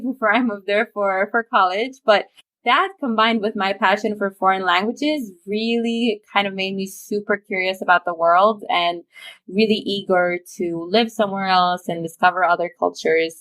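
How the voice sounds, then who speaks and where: A woman's voice: mid-range voice, fairly bright tone. Eager chatty young woman from Romania. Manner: eager, chatty, laughs easily. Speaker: guest. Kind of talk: casual interview chat.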